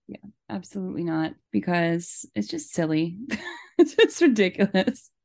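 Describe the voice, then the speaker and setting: A woman's voice: fairly low pitch, big pitch swings, moderate pace, gravelly, a little mellow, no room sound. A woman co-hosting a podcast in casual chat. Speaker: podcast co-host.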